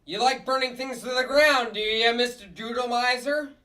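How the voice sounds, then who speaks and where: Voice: mid-range voice, moderate pace, sharp tone, recorded from a distance, close to an everyday voice. A performer at a comedy table read playing a blunt, wisecracking, cynical puppet district attorney. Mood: brusque.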